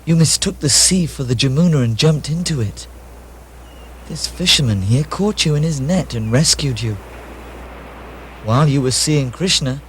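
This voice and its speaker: An actor playing a devoted disciple in a puppet show, speaking earnestly, deliberately and reverently. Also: male voice, medium pitch, slight background noise, close to an everyday voice. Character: devoted male disciple gently addressing his lord.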